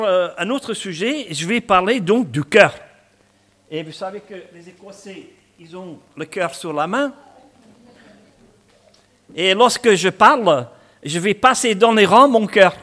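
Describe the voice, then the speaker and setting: Scottish pastor preaching in French, high voice. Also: male voice, expressive, unhurried. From Scotland. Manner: warm, chatty preaching with humor, many "hein" asides. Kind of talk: sermon.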